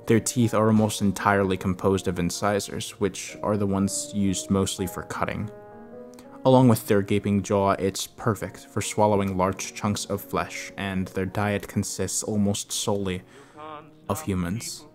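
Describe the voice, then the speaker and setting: A low male voice delivers a scripted horror explainer. He speaks in a measured, expository manner, setting out each point as a narrator would. Music plays faintly behind him. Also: level tone. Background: background music.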